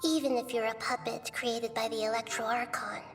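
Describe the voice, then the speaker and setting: A woman voicing a small, wise, gentle young goddess in a video game, composed and measured as she explains. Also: a little high, flat delivery, over music, close to an everyday voice. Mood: calm, knowing.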